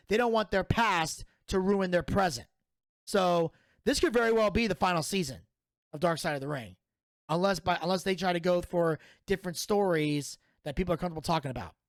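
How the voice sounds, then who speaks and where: A man's voice: sits high, dry recording, clean studio sound. Blunt, profane man in his thirties. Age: 30s. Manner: blunt, profane, opinionated rant. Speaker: podcast host. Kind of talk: solo commentary review.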